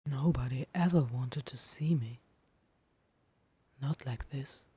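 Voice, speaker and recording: A woman performs a lonely male supernatural being from a fan-fiction audio drama. The voice is very husky and breathy, slow and halting, haunted yet protective. It sounds close and dry. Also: extremely low pitch, dark tone, dry recording, voice pushed down, heavily acted. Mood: wistful awe.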